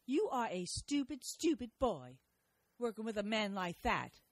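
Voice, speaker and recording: A woman's voice: on the high side, sing-song, measured pace, no echo, full character voice. A woman acting in an audio drama as a feisty, sweet-talking older wife with an emphatic, folksy manner. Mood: scolding.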